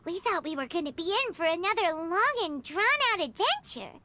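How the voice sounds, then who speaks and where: Squeaky lil game fairy girl, super high, bubbly n chatty, bouncy pitch. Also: sing-song, moderate pace, pushed and bright, slight background noise, heavily acted. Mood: casual pride. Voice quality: squeaky, childlike. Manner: bubbly, exclaiming, curious. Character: small excitable chatty fairy girl companion. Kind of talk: video game voice acting.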